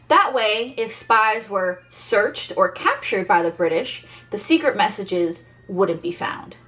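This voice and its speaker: A woman's voice: on the high side, fairly bright tone. An American woman in her thirties giving a scripted educational presentation as host, recorded indoors at home. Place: small furnished room at home, indoors.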